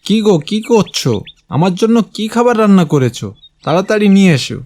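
A man's voice: high-pitched, big pitch swings, normal speed, a little mellow. A man playing a greedy, grasping village uncle in a cartoon moral tale, brusque and demanding. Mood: bossy.

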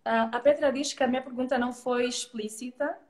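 A woman's voice: high-pitched, steady tone, a little fast. Hesitant woman host on a roomy call. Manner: hesitant, fills with hums, agrees often. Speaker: host of a live show. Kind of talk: casual live video-call interview. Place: indoors, plain magenta wall. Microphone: video call from a laptop. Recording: roomy video call audio.

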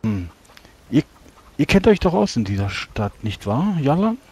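A man's voice: medium pitch, very animated, a little slow, darkish tone, slightly rough. A man roleplaying in a tabletop game, speaking in courteous, formal wording.

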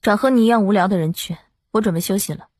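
A woman's voice: medium pitch, fast, a little mellow, husky, no room sound, clean studio sound, close to an everyday voice. A woman playing a cold, haughty young female executive in an audiobook, curt and mocking. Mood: cold, dismissive.

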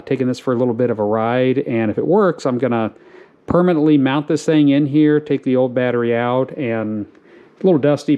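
A man's voice: mid-range voice, highs cut off. Easygoing man with rambling fillers. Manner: relaxed, rambling with many fillers. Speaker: farm channel host. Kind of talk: casual how-to video narration. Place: garage, then outdoors on a farm lawn.

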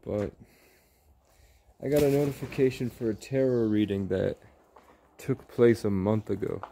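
A man in his twenties giving a slow, rambling monologue in short, halting phrases while walking outdoors. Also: on the low side, gravelly, warm tone, slight background noise. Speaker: vlogger. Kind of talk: slow rambling monologue, ASMR-style walk.

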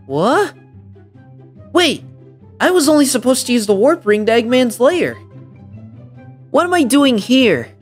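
A woman voicing a bewildered, squeamish young male cartoon hedgehog with a raspy voice in a comic dub. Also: sits high, very animated, measured pace, pauses often, faint music behind, full character voice. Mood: confused, annoyed.

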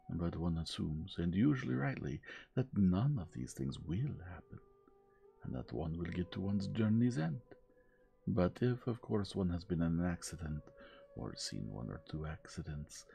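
A man's voice: sits low, gravelly, warm tone, husky, exaggerated voice. A man voicing a vain, precise middle-aged detective with a French accent who slips in French phrases.

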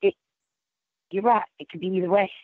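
A woman's voice: mid-range voice, fairly even intonation, fairly quick, no room sound. A woman from Texas calling in to a show, her voice nearly gone. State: nearly lost voice. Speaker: caller. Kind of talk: phone call-in chat. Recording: tinny phone line.

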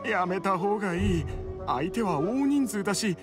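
Nervous villager in an anime game, highish voice, music behind. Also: male voice, expressive, a little slow. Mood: worried. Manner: earnest, anxious, explanatory. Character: frightened young male villager, robbed and cautious. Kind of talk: Japanese anime game voice acting. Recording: background music under voice.

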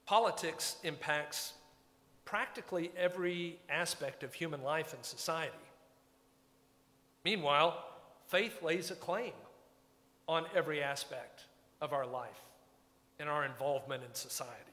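A man's voice: fairly high pitch, unhurried, pauses often, sharp tone. A man, preaching a sermon from a pulpit in a church sanctuary in a conversational, wry and reflective way. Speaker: pastor. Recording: long church reverb.

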